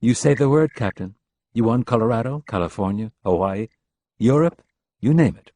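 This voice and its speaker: A man's voice: mid-range voice, lively intonation, moderate pace, warm tone, no echo, pitched above the performer's own voice, close to an everyday voice. A man doing a brash, scornful male operative. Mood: curt. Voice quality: blunt, scornful, cursing.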